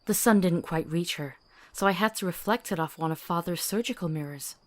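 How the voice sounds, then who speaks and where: A woman's voice: lowish voice, brisk, natural voice. A woman playing a compassionate, earnest and stubborn companion to a monster hunter in a visual novel. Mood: subdued.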